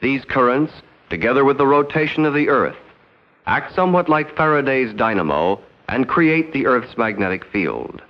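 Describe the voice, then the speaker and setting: An American man narrating a 1960s educational film in a formal, measured, no-nonsense way. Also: medium pitch, animated, slight background noise. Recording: dull 1960s 16mm film soundtrack.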